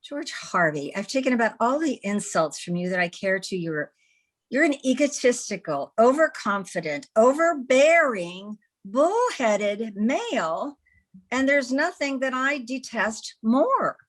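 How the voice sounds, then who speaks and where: A woman's voice: a little high, sing-song, unhurried. A woman playing a headstrong, exasperated newspaper editor in an old-time radio comedy, emphatic and indignant.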